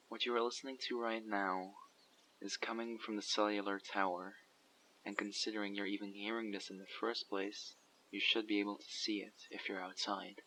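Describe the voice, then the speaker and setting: A man voicing a guarded survivor leader who recruits people for a community, asking measured, probing questions in an audio roleplay. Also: on the low side, sharp tone, slight background noise, low-quality recording. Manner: measured, probing, matter-of-fact. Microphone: USB condenser microphone.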